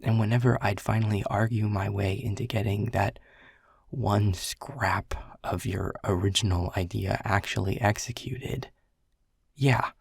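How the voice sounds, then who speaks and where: A man's voice: on the low side, fairly even intonation, unhurried, creaky, breathy edge, no echo, clean studio sound, exaggerated voice. A man playing a world-weary male middle manager who is blunt and swears, confessing in a half-whisper. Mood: frustrated. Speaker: boss. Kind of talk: audio roleplay acting.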